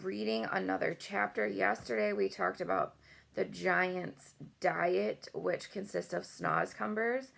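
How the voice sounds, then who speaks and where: A woman's voice: medium pitch, steady tone, takes it slowly, gravelly, a bit bright. A woman reading a children's storybook aloud as host, with casual asides.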